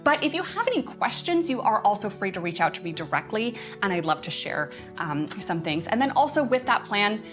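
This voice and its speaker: A woman's voice: medium pitch, very animated, brisk, few pauses. Chatty, enthusiastic woman hosting over music. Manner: chatty, enthusiastic, often chuckling. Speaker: host of a curriculum update livestream. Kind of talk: casual livestream announcements.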